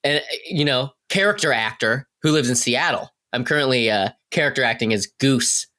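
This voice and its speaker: A man's voice: medium pitch, normal speed, bright, forceful tone. Joking man with a mid-range voice. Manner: joking, repeats words for effect. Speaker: podcast cast member, character actor. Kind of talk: casual chat.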